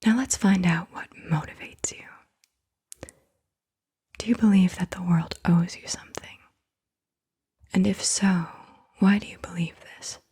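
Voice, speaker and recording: A woman softly asking questions in an ASMR style, in a breathy whisper with gentle, unhurried delivery. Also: fairly low pitch. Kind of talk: soft-spoken ASMR interview questions. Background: computer keyboard typing.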